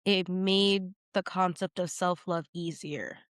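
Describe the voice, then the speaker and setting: A woman's voice: on the low side, steady tone, slow pace, no echo, studio quality. A woman getting over a cold, sounding nasal, chatting as a podcast guest and rambling with lots of fillers. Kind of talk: casual conversation.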